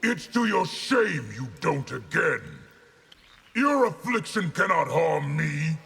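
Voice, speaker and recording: A man voicing a stern, scornful, zealous general in a video game, with a gravelly, imperious delivery. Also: on the high side, very animated, slow pace, soft background music, full character voice. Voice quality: gravelly, hard-edged. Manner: imperious, forceful. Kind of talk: video game fighting character dialogue.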